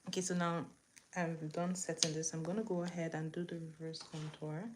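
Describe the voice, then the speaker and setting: A woman speaks in a slightly low voice over faint background noise. She talks casually and instructively to her viewers, like a host walking people through a tutorial as she works. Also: little pitch movement, fairly quick. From eastern Nigeria. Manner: chatty, instructive, talking to viewers while working. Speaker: makeup tutorial host on a livestream. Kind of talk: casual chatty makeup tutorial, greeting viewers. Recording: clear background noise, room ambience.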